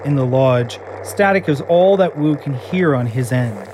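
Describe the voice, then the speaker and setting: A man reading stage directions as narrator of a fan audio drama in an even, descriptive way. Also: mid-range voice, measured pace, slight background noise.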